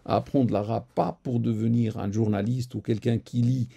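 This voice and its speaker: Guy answering questions live, lots of euhs, gravelly husky voice. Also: medium pitch, fairly even intonation, moderate pace, dark tone. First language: Arabic. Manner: hesitant, many fillers and repeated words. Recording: live stream recording.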